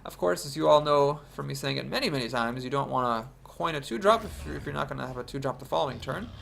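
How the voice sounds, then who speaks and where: A man's voice: mid-range voice, expressive, fast talker, creaky, fairly bright tone, slight background noise. A young man with a congested voice from a cold, thinking aloud as he commentates his own card game. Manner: analytical, thinks aloud about plays. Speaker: game streamer.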